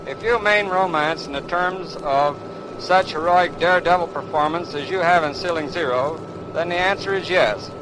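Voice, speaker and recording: A man giving formal, scripted remarks as a radio guest, declamatory and measured, on an old 1930s recording. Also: on the high side, background noise. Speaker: guest aviation expert.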